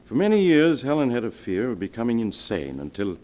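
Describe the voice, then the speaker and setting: An actor playing a grave family doctor in 1940s radio melodrama, solemn, measured and authoritative. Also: male voice, mid-range voice, wildly swooping pitch, a little mellow. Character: grave, controlled family doctor breaking bad news. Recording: vintage 1940s radio broadcast.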